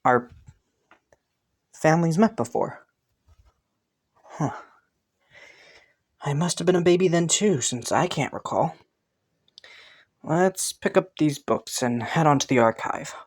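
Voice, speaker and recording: Man acting a slow-talking, haughty young prince. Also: a little high, animated. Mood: surprised, probing. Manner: teasing, smug, chuckling and sighing between phrases. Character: haughty, teasing young crown prince, suspicious but intrigued. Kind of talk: romantic fantasy audio roleplay addressed to listener.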